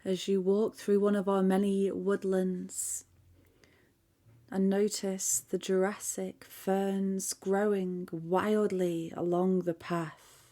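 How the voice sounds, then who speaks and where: Slow, hushed woman guiding meditation. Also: mid-range voice. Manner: soft, calm, hushed, half-whispered at times. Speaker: meditation guide. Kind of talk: guided meditation.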